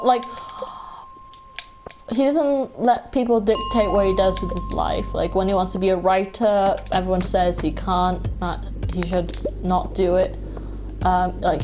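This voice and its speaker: Slow, rambling young woman. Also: medium pitch, poor audio. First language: Welsh. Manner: rambling, full of "like" and restarts, giggly. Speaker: streamer. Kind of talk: casual chat while playing a game. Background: game music.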